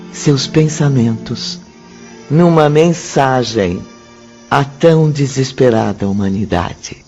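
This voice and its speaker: An actor with a Brazilian accent as the solemn storyteller of a scripted spiritual radio drama. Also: male voice, mid-range voice. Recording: dull audio under clear music.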